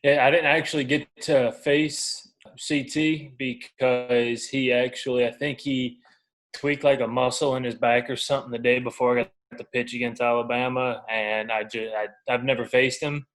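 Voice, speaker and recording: A man in his twenties talks as a guest athlete in a casual interview. His voice is mid-pitched for a man, with a flat, even intonation and relaxed, informal wording. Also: moderate pace, low-quality recording. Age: 20s. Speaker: baseball pitcher, podcast guest.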